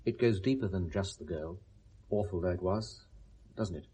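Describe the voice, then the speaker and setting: An actor playing a genteel, pious and concerned British confidant in a 1970s radio drama, speaking with gentle firmness. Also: male voice, sits low, moderate pace, breathy edge. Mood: sympathetic, tentative.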